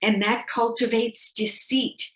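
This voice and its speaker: A woman acts out a Victorian wife from a short story, confiding and fixated, edging into paranoia. She speaks very slowly and dramatically, leaving loaded pauses. The room around her rings with echo. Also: fairly high pitch, steady tone. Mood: fixated, secretive. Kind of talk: dramatic first-person short story reading.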